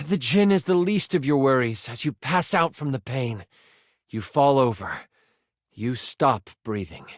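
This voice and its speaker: Animated, high-pitched male game master narrator. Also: no echo, clean studio sound. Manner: storytelling, theatrical. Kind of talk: dramatic second-person fantasy narration in a video game.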